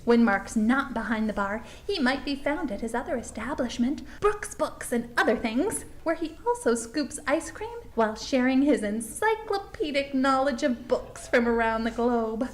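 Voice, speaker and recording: A woman's voice: high-pitched, lively intonation, normal speed, few pauses, a little hiss or hum. A woman playing an upbeat, gushing presenter who narrates and interviews for a promotional small-town tourism documentary. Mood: bright, promotional.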